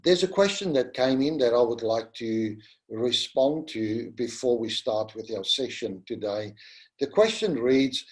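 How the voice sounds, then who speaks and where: A middle-aged South African man whose first language is Afrikaans presents a webinar lesson. He speaks slowly and earnestly, with a gentle, pastoral warmth, often calling his listeners "my friend." His pitch sits in an ordinary middle register. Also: lively intonation. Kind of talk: slow, earnest teaching talk.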